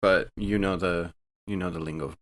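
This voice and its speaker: Lowish, bright-edged male game player. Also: steady tone, normal speed. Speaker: role-playing game player. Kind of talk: casual in-game chat and role-play.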